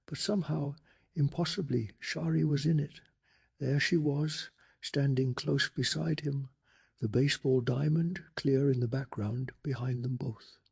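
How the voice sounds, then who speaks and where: A man softly narrating a bedtime story in a calm, hushed ASMR style, telling it with deliberate drama. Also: mid-range voice. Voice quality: soft, breathy.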